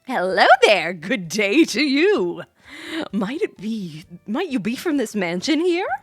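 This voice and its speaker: A woman voicing an exuberant young gentleman traveler from a video game, theatrical, florid and over-polite. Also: a little high, big pitch swings, slow pace, slightly rough, dry recording, soft background music, exaggerated voice. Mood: courtly greeting.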